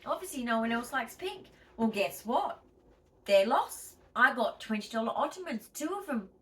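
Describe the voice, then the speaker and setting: A woman's voice: on the high side, very animated, normal speed, a bit bright, distant mic. An Australian woman chatting casually to her viewers in a home vlog, emphatic and chatty, and a bit wheezy. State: wheezy, tight-chested. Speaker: vlogger.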